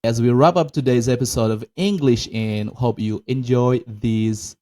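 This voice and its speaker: Mellow indonesian guy cohosting a chill english podcast, indonesian first language. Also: medium pitch, measured pace. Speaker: podcast co-host. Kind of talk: casual chat.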